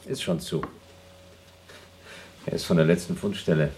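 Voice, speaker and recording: An earnest, fussy astronaut acted by a man speaks in radio-play style. The voice is deep and pedantic, with clearly audible noise in the recording. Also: normal speed, dark tone, lightly acted. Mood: fussy, businesslike. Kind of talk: radio drama acting.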